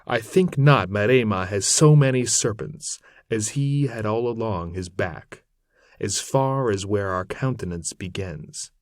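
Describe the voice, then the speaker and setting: A man narrating an old epic poem aloud in a solemn, measured, theatrical manner with archaic phrasing. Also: on the low side, no room sound, clean studio sound. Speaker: narrator reading poetry aloud. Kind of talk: dramatic recitation of an epic poem in archaic English.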